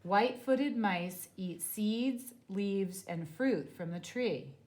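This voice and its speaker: A woman reading a children's nonfiction book aloud like a teacher, in a deliberate, clearly phrased manner. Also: medium pitch, darkish tone.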